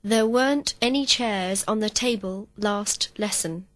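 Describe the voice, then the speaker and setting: A woman giving slow, complete textbook answers as a model student in a recorded English lesson. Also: mid-range voice. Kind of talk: scripted full-sentence answers.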